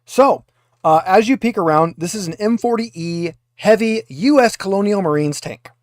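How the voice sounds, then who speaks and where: A man with a fairly high voice runs a tabletop roleplaying game as game master. He improvises narration in a wry, conversational way, and the recording is dry and close. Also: moderate pace, dry recording.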